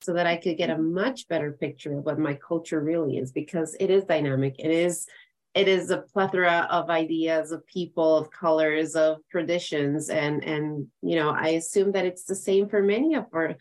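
Encouraging woman podcast host, lowish voice. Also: fairly even intonation, moderate pace, darkish tone. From Ecuador. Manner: encouraging, affirming. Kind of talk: conversational interviewing.

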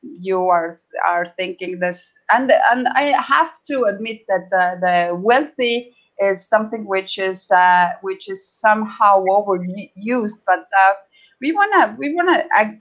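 A woman from Estonia with an Estonian accent, answering as an interview guest in a halting, conversational way with frequent pauses and repeated words. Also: mid-range voice, muffled recording.

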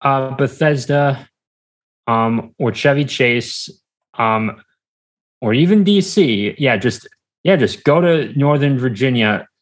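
A man with an American accent speaks as a guest in a casual conversation. His voice is of average pitch. He rambles, halts and often leaves a thought hanging before picking up the next. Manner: halting, rambling, frequently trailing off. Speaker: podcast guest. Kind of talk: casual chat.

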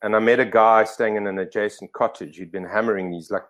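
A middle-aged man from Zimbabwe with a fairly low voice, talking as a guest. He rambles through his stories, correcting himself and filling pauses as he goes. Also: fairly even intonation, a bit bright. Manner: rambling, self-correcting, lots of fillers. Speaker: podcast guest. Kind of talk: casual storytelling interview.

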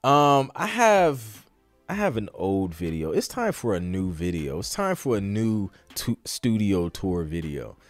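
A man with a fairly low voice hosts a casual Q&A livestream as a music producer. His delivery is informal and slangy, like easy conversation. The sound is close and dry, with faint music underneath. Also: very animated, measured pace, no room sound. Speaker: podcast host and music producer.

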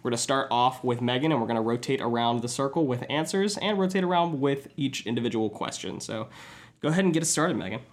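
A man's voice: mid-range voice, quick pace. A man in his twenties from the US South, casually introducing an episode of a friends' podcast with easygoing fillers. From born in Georgia, raised in Tennessee. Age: 20s. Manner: relaxed, with many fillers. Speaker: podcast host.

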